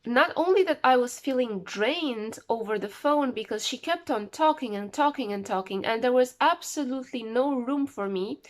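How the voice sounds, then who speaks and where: A woman with the flu talking casually to camera, rambling and repeating words for emphasis. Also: high-pitched. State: sick with flu, voice a bit off. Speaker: vlogger.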